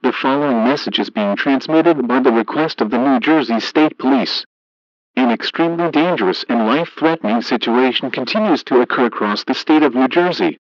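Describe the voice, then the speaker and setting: Male emergency broadcast announcer, mid-pitched, formal, flat scripted read with a synthetic edge, dull radio sound. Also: brisk, no echo.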